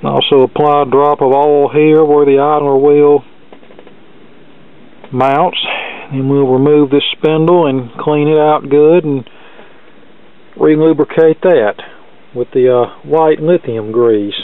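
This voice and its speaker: A man's voice: a little high, fairly even intonation, slow, pauses often, smooth. Man in thin, phone-like lo-fi sound. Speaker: electronics repair video host. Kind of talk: casual hands-on repair narration.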